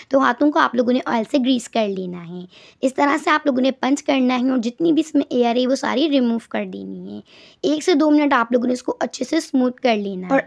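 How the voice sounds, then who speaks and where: A woman's voice: high voice, creaky. A woman giving step-by-step recipe instructions as a voice-over, often doubling words for emphasis. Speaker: cooking channel host.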